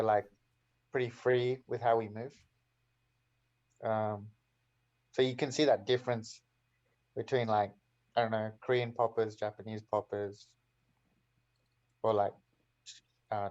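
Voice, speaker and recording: A man's voice: fairly low pitch, a little mellow, muffled recording. A man with an Australian accent, chatting on a panel in a laid-back way, full of "like" and "um". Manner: laid-back, many fillers. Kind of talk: casual panel chat.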